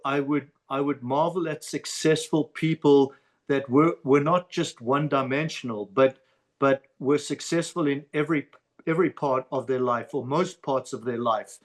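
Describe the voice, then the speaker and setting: South African man, halting and repetitive. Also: a little high. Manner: halting, repeats words as he starts a thought. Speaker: podcast guest. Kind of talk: casual interview talk.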